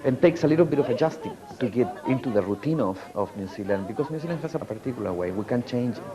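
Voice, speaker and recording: A man's voice: medium pitch, animated, fast talker, a crowd in the background. A man, a community organizer giving a hesitant documentary interview full of fillers and restarts. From Latin America.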